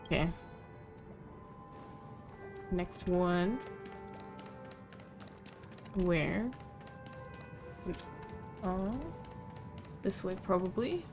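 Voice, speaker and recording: A woman casually commentating as she streams a game, talking to herself in a relaxed way with mild swearing. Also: lowish voice, very slow, long pauses. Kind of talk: casual live gameplay commentary. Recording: game music loud behind voice. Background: video game music.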